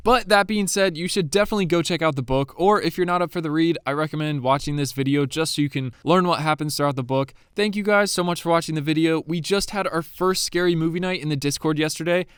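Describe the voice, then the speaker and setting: A man's voice: a little high, clear, resonant tone, no echo, studio quality. A young man, a bit sniffly, giving casual, eager commentary to his viewers as a YouTube creator. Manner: chatty and eager.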